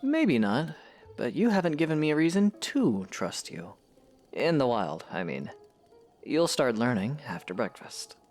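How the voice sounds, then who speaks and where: A man playing a calm, teasing young knight in an audio drama, with terse, easygoing delivery. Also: mid-range voice, measured pace, faint music behind. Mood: teasing, matter-of-fact.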